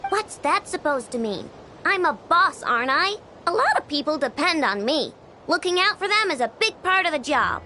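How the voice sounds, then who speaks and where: Casting: proud little girl boss, very high, sharp-edged voice, blunt and gruffly kind, for an anime game. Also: big pitch swings, normal speed, slight background noise, heavily acted. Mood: proud, generous. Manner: blunt, self-important. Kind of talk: anime-style game voice acting.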